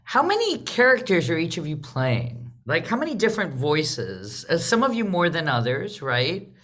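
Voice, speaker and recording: A woman's voice: deep voice, big pitch swings, moderate pace, fairly bright tone. A woman hosting a theatre panel discussion in a conversational way. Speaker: host, theatre leader.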